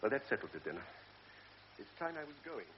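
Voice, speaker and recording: A man's voice: medium pitch, sing-song, brisk, close to an everyday voice. A man playing a grave, stern doctor. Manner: grave, lecturing. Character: grave older male doctor, stern and measured. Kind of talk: radio drama acting. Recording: dull old radio recording with faint hiss.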